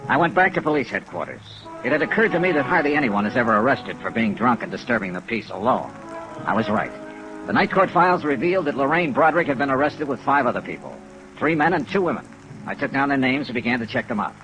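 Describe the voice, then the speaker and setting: A male actor as a brisk American insurance investigator in an old radio drama. He reels off his case with clipped, wry authority and lively inflection, over music and rain. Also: medium pitch, lightly acted, rough recording. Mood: businesslike narration. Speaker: lead actor and narrator of a detective radio serial. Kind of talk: 1950s radio detective acting.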